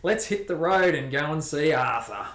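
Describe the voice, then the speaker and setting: A man's voice: a little high, expressive, moderate pace, background noise, lightly acted. A man playing an easygoing, confident male smuggler in an echoey amateur radio play.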